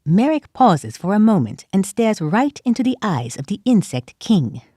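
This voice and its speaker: A woman narrating an audio drama in a calm, measured way. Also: mid-range voice, slightly rough, no echo, clean studio sound.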